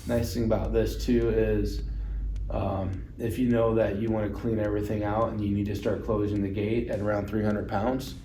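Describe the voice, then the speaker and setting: A man's voice: fairly low pitch, flat delivery, normal speed, warm tone. A man giving an instructional product demonstration, explaining things plainly and step by step. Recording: roomy echo, distant mic, music underneath.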